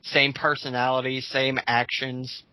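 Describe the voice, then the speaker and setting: A man co-hosting a casual group commentary, reading comments aloud and haltingly restarting his sentences. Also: medium pitch, monotone, sharp tone, no room sound, low-quality recording. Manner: halting, with repeated false starts and filler phrases.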